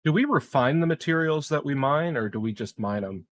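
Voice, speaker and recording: A man's voice: on the high side, expressive, fairly quick, no echo, clean studio sound. A man chatting casually as a player at a tabletop game. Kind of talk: casual chat.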